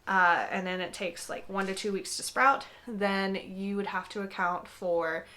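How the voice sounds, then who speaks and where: A woman's voice: medium pitch, steady tone, a little slow, pushed and bright. A young woman with a slightly congested voice, explaining gardening in a chatty, casual vlog with frequent fillers. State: congested from a cold. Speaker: gardening vlogger. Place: indoors, with some segments recorded outdoors in a garden.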